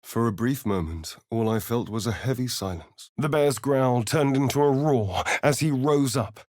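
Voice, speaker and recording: A man's voice: medium pitch, normal speed, a little mellow, dry recording, studio quality, lightly acted. A man playing a sly, centuries-old vampire spawn in a video game, arch, flamboyant and teasing, with precise enunciation. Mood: measured, grave storytelling. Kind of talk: video game character voice lines and narration.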